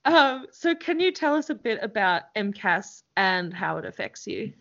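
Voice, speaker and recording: A woman's voice: mid-range voice, very animated, moderate pace, creaky, a bit bright, highs cut off. A woman with a Melbourne Australian accent hosting a podcast in a bubbly, chatty way. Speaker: podcast host. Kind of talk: casual interview chat.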